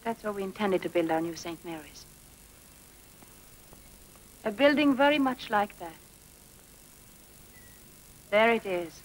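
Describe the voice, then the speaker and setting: A woman's voice: lowish voice, close to an everyday voice, poor audio. An actress playing an earnest, polite female nun, who was born in Sweden, in a 1940s film. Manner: earnest, polite, softly persuasive. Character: earnest gentle female nun, former tomboy. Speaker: nun, school sister. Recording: old film soundtrack with faint hiss.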